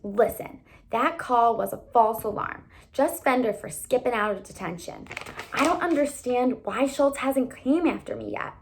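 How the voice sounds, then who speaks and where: A woman reader voices an anxious teenage troublemaker, a boy from a children's storybook. He sounds conspiratorial and drily sardonic, in a fairly high voice with plenty of creak. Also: animated, moderate pace, slight background noise, poor audio. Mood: urgent.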